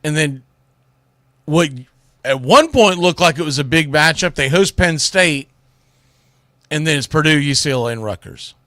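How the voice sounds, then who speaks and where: A man with a slightly high-pitched voice delivers a sports radio monologue in a dry, close recording. He is conversational and opinionated, leaning hard on key words and pausing for effect. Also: lively intonation, sharp tone, no echo. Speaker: sports radio show host. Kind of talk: casual sports commentary monologue.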